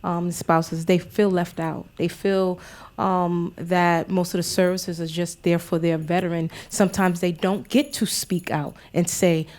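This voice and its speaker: A woman's voice: on the low side, little pitch movement, measured pace, darkish tone. A woman making spontaneous, emphatic panel remarks, often voicing other people's pleas in the first person. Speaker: panelist who works with military spouses.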